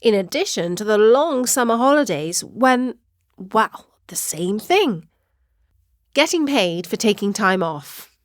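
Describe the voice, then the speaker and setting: A woman narrating a scripted children's story with deliberate, dramatic phrasing. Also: mid-range voice, very animated, no room sound, clean studio sound.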